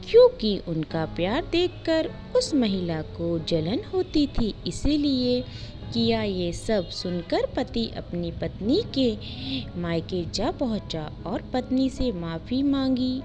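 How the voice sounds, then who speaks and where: A woman reads a simple moral tale as a storyteller. Her delivery is unhurried and broken into short, deliberate phrases, with an animated rise and fall in pitch. Soft music plays behind her. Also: highs cut off. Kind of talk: scripted moral story narration.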